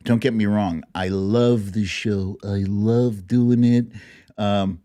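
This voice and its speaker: A man hosting a live streamed show, chatting to viewers in a playful, theatrical way with drawn-out exclamations. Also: fairly low pitch, fairly even intonation, dark tone. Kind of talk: live chat-show talk, greeting viewers by name.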